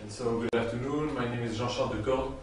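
A middle-aged man, speaking as an official on a panel in a hesitant, measured way. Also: medium pitch, fairly even intonation. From Belgium. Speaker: European Commission official on a panel. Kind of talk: self-introduction and questions on a panel. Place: small seminar room with audience. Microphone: distant camera microphone. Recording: very echoey room, far mic, faint noise.

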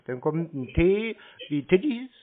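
Male phone talker, slow and dithering, playing clueless, thin telephone audio. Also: a little high, very animated. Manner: dawdling, feigned cluelessness. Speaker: prank caller toying with phone scammers. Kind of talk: slow, hesitant phone conversation, spelling things out. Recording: tinny narrowband phone line.

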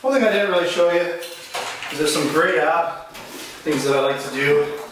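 A man's voice: a little high, normal speed, noisy background. A man in his forties casually explaining exercises in his small home gym while doing them, a bit breathless at times. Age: 40s. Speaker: fitness vlogger. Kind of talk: casual workout demonstration. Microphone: camera mic from across the room. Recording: echoey room, distant camera mic.

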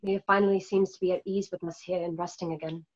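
A woman's voice: on the low side, flat delivery, fast talker, lightly acted. A woman playing a solemn, idealistic rebel in a radio play, earnest and oratorical, over a video call. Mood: calm, satisfied. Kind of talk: remote radio play reading. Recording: dull lo-fi video call audio.

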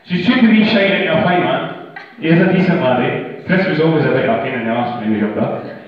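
A man's voice: on the high side, lively intonation, fast talker. Echoey, far-off Scottish best man. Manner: joking, banter with listeners, casual swearing. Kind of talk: informal wedding speech. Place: large function room at a wedding reception. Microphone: handheld PA microphone, filmed from the audience. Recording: echoey hall from far off, muffled, crowd noise. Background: wedding guests' chatter and laughter.

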